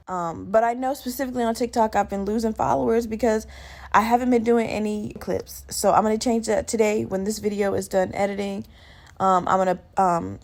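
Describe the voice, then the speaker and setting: A woman hosts her solo podcast with a sleepy, just-out-of-bed growl and plenty of vocal fry. Her talk is loose and rambling, candid and self-deprecating, with casual swearing. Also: medium pitch, darkish tone. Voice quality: slightly gravelly morning voice. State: just woke up. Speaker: podcast host.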